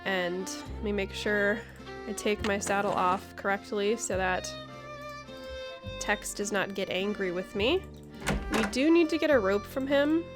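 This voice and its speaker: A woman's voice: medium pitch, unhurried. A woman giving casual playthrough commentary, chatty and thinking aloud as she hosts. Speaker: gaming video host. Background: game music.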